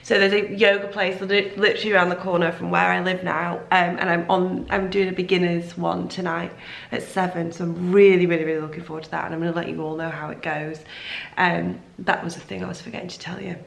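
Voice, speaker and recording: Vlogger, woman, voice shot from a cold, low and rough, rambly and self-deprecating. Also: flat delivery, quick pace, reverberant. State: lost voice after a cold, hoarse. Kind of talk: casual chat to camera. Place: at home, indoors.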